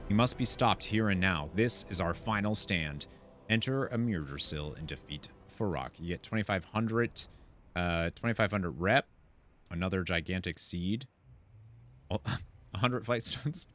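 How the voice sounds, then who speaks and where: A man's voice: sits low, measured pace, a bit bright, slight background noise. A man doing casual game commentary, thinking aloud and reading quest text out. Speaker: gaming content creator. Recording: faint game music behind. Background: game music and sounds.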